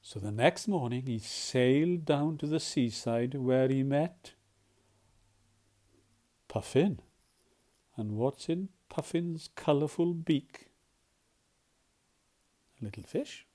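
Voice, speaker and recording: Children's storyteller. Mellow, unhurried, expressive, playful questions and hushed moments. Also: medium pitch. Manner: animated, dramatic, often drops to hushed tones. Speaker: volunteer storyteller. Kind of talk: expressive read-aloud of a children's picture book, with questions to the listener.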